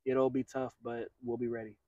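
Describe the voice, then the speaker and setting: A middle-aged man, a head soccer coach, giving a measured post-game interview outdoors on a field at night. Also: mid-range voice, dry recording, highs cut off. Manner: measured, steady, frequent fillers.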